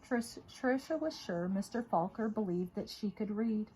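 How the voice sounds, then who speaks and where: A woman with a husky voice reading a children's story aloud in an expressive, dramatic way. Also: medium pitch, unhurried, a little hiss or hum, low-quality recording. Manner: dramatic, with emphatic repetition and hushed moments. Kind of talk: expressive read-aloud narration.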